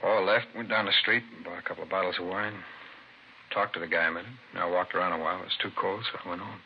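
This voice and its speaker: A man with an American accent playing a weary, aggrieved killer confessing to police in a halting mumble, in the style of 1950s radio crime drama. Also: sits low, fairly even intonation. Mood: flat, weary. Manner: halting, mumbling, self-justifying. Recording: muffled, hissy vintage radio broadcast recording.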